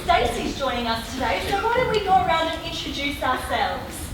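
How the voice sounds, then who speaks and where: A woman's voice: high voice, very animated, moderate pace. A woman playing a polite, professional interviewer and group leader in an amateur comedy stage play. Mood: encouraging. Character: polite, brisk female interviewer and meeting leader. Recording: echoey hall from far off, very noisy.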